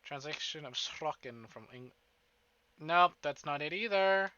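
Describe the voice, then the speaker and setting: A male streamer with a very bright, sharp and animated voice, pitched a bit high. He sounds expressive and informal on slightly dull livestream audio with faint music behind. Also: measured pace, a little hiss or hum. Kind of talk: casual livestream chat and reading visual novel narration aloud. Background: game music.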